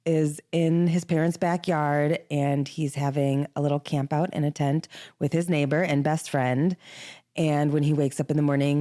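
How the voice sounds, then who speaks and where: A woman with a low-pitched voice co-hosts a book podcast. She talks casually and chattily, in loose, informal phrasing, as in a relaxed conversation. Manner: chatty, informal, frequent "like" as filler. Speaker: podcast co-host.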